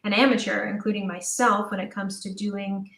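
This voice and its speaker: A woman's voice: mid-range voice, level tone, moderate pace, creaky, fairly bright tone. A woman with a mid-range voice talks as a guest in an interview. She explains things in a chatty, informal manner, working out her thoughts aloud. The sound is noticeably roomy, with echo around her words. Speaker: audio drama writer and director, interview guest.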